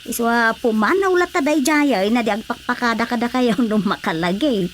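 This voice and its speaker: A woman's voice: a little high, normal speed, a little hiss or hum, natural voice. A woman acting a sympathetic village neighbour, full of exclamations and questions, in a radio comedy drama.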